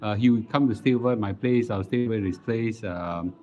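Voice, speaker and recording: A middle-aged man with a Singaporean accent chatting casually as a livestream host, halting and repeating words as he goes. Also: fairly low pitch, faint music behind. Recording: dull lo-fi live stream audio.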